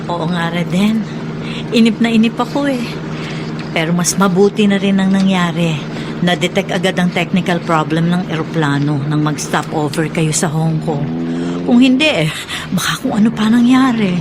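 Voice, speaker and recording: A woman's voice: fairly low pitch, normal speed, background noise, lightly acted. A woman playing an anxious wife and mother with a Filipino accent in an emotional radio drama. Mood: weary, chatty. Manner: emotional, melodramatic.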